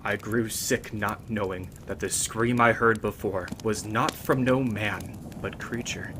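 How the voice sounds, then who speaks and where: A man voicing a grim lone bard, narrating a dark fantasy tale in the first person with dramatic weight. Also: fairly low pitch, fairly even intonation, unhurried, background noise, lightly acted. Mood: grim, intense. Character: grim lone male fantasy bard recounting his bloody past.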